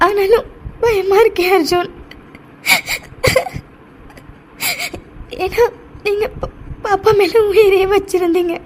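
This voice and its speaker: Woman acting a scared guilty young wife in audio drama, super high weepy voice, stammers, faint noise. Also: a little mellow. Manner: tearful, halting, stammering repetitions. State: crying. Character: frightened, guilt-ridden young expectant mother, pleading with husband.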